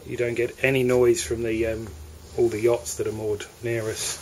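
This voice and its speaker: A man with an English accent walks through the cabins of a moored motor yacht, narrating a sales tour in a relaxed, hesitant way. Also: mid-range voice, normal speed, slight background noise, rough recording. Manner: relaxed, hesitant, with fillers and restarts. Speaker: yacht broker. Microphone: handheld camera mic.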